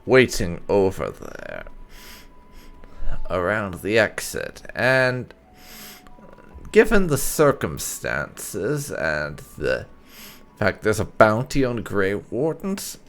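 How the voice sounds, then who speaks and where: A man's voice: fairly low pitch, wildly swooping pitch, slow, gravelly, fairly bright tone, clear, resonant tone, faint music behind. A man hosting a gaming channel, giving casual let's play commentary.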